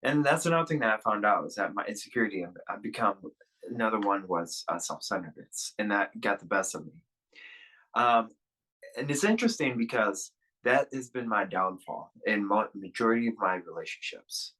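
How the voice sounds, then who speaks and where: A young man with a fairly low voice speaks over a video call. His tone is bright and forceful, and he talks in a halting, rambling way, full of fillers and restarts. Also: lively intonation, slightly rough, rough recording. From Russia. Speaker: podcast guest. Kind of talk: candid personal interview.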